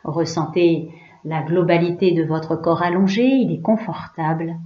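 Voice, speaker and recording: A woman yoga teacher leading a guided relaxation in a hushed, soothing, unhurried way, leaving pauses between short phrases. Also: on the low side. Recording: roomy, slightly dull recording.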